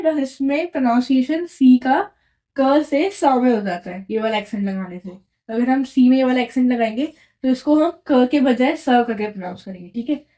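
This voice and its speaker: A woman's voice: sits high, warm tone. A woman teaching French, explaining patiently and often checking in with the listener. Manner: patient, repeats and checks in with "right?". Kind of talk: explaining a lesson at a whiteboard.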